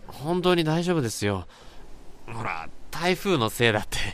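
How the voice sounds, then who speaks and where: Radio drama role, male actor: puzzled, courteous male office junior, mid pitch, hesitant yet expressive intonation. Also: normal speed. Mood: troubled, deflecting. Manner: polite, hesitant. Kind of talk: Japanese radio drama acting.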